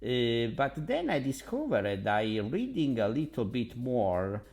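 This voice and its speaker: A man from Naples with an Italian accent, a language tutor talking casually about languages in a halting, enthusiastic way with many fillers. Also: mid-range voice, wildly swooping pitch, a little mellow. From Naples, Italy. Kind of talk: casual vlog monologue.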